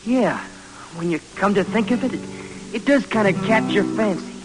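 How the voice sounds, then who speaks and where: An actor playing a curious, folksy frontier local with a rural American accent in an old radio western. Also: male voice, high-pitched, lively intonation, normal speed. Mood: wondering. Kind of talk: old-time radio drama acting. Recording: reverberant old radio recording, faint music.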